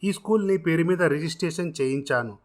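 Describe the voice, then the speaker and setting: A man's voice: a little high, fast, close to an everyday voice. A man voicing an earnest, generous self-made contractor who speaks formally and encouragingly in a dramatised story. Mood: earnest, kindly.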